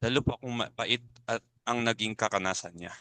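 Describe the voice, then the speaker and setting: A man acting a protective older club elder in a dramatic reading, reasoning and gently admonishing. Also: medium pitch, normal speed, dry recording, natural voice. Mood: reasoning, firm. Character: Tito Dudes, protective, reasoning older male club member.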